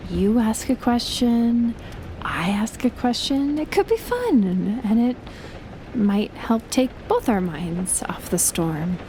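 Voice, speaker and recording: A woman playing a gentle, shyly affectionate best friend in an intimate audio roleplay, speaking softly and hesitantly with a breathy, near-whispered voice. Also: on the high side, noisy background, heavily acted. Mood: shy, hopeful. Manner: soft, hesitant, slow with long pauses. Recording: loud rain and thunder throughout. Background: rain and thunder effects.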